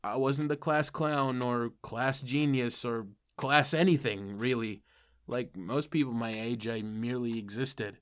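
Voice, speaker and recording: Self-deprecating teen hero-narrator played by a man for a comic dub; medium pitch, sardonic, confiding in the listener. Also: unhurried, smooth, close to an everyday voice. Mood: wry. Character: wry, self-deprecating ordinary teenage boy turned superhero.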